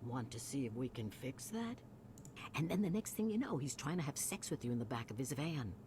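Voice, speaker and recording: A woman's voice: a bass voice, sing-song, brisk, heavy background noise. An actress playing a chatty, suspicious older woman who rambles in conversation, as voice acting for a video game.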